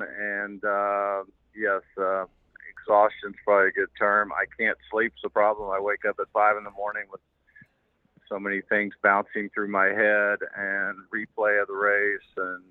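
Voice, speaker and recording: An older man, hoarse from losing his voice, rambling along with lots of pauses and restarts. His voice sits on the low side and comes through a thin, tinny phone line. The sound is dry, with nothing of a room around it. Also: no room sound. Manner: halting, hesitant, rambling. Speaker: guest, racehorse owner. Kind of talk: casual phone interview. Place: car, driving.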